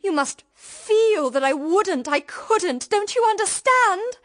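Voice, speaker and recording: A woman's voice: very high voice, animated, slow pace, heavily acted. An actress playing an ardent, devoted young British woman in love, in an intense, earnest radio drama style. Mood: desperate, pleading. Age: young adult.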